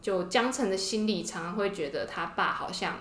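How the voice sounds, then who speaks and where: A woman's voice: mid-range voice, moderate pace, a bit bright. Echoey-room Taiwanese woman chatting expressively. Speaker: podcast co-host. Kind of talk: casual panel chat about fiction. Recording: roomy, echoey sound.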